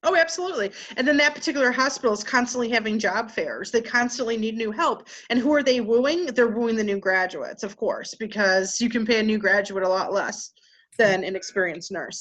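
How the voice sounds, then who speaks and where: A woman nurse talks as an interview guest. Her voice is mid-pitched, and her manner is chatty and storytelling, with small fillers as she goes. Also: a bit bright. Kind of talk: conversational interview.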